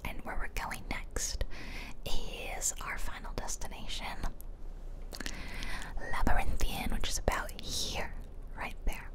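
A woman's voice: slow pace, low-quality recording. A woman speaks entirely in a whisper, as in an ASMR video. She narrates her gameplay casually, chatting and rambling along like a streamer talking to viewers.

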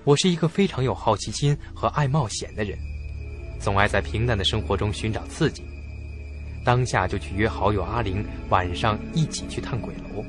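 A man with a fairly low voice narrates a scripted suspense story as a radio drama. Prominent music plays beneath his narration. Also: normal speed.

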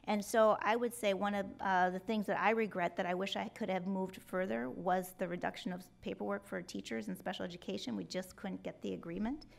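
A woman's voice: medium pitch, brisk, vocal fry, few pauses. A middle-aged woman answering interview questions at a hearing table, earnest and gesturing, often restarting her sentences. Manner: earnest, expressive with hand gestures, many restarts. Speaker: superintendent candidate. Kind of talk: public job interview answers. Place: hearing room with rows of empty seats. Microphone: table microphone.

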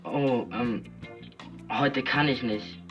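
A man's voice: mid-range voice, steady tone, very slow. An actor playing a keen, naive young male graffiti sprayer on a phone line. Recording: dull phone call sound with music.

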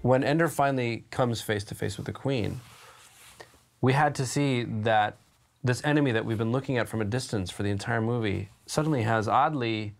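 A man's voice: mid-range voice, normal speed, creaky. A man speaking as a filmmaker in a behind-the-scenes interview. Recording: faint music behind the voice.

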